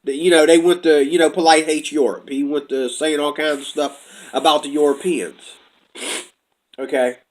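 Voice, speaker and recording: A man's voice: fairly high pitch, fairly even intonation, moderate pace. A man giving a casual, rambling commentary monologue, talking from notes and drifting off topic, on faith and identity. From United States. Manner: rambling, talks from notes, puts on quoted voices.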